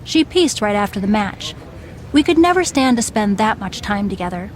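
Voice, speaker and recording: A woman's voice: medium pitch, big pitch swings, normal speed, slight background noise, close to an everyday voice. A woman playing a bossy, seasoned wrestling legend in video game dialogue, firm, matter-of-fact and wry. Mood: frank, firm.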